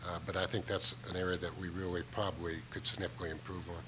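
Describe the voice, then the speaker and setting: Deep, even-toned American man. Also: fast talker. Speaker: panelist. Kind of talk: unscripted panel answer. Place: large conference ballroom stage. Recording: live conference PA with faint room noise.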